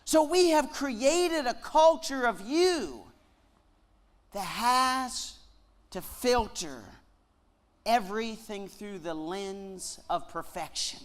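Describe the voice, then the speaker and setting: A Canadian man with a slight Southern twang, giving a joking sermon-style lecture with slow, deliberate emphasis on key words. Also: very high voice, expressive. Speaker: guest speaker at a Bible lectureship.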